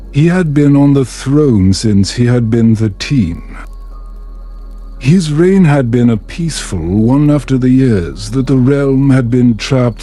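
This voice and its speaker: A man narrating a scripted bedtime story in a calm, soothing, measured manner. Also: medium pitch. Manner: soothing, measured, unhurried delivery.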